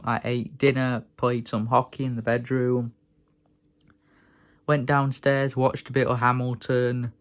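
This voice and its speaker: Young man, croaky sore throat, rambling vlog rant, mid-pitched. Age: young adult. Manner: rambling, chatty, grumbling. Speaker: vlogger.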